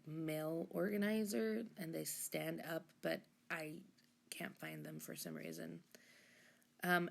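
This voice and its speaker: A woman with a low, gravelly voice talks through her journaling in a relaxed voice-over. Her throat is hoarse and a little froggy from being sick. She rambles, corrects herself, and lets some asides drop almost to a whisper. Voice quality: husky, rough. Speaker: journaling channel host. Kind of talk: casual voice-over chat.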